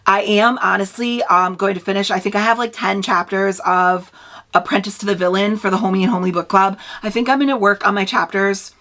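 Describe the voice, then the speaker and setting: A woman in her thirties chatting casually at home about the books she reads, her voice worn and raspy from a long day, full of "like" fillers. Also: medium pitch, steady tone, fairly quick. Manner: hushed whisper early in the morning. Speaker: book vlogger. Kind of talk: casual read-along vlog chat.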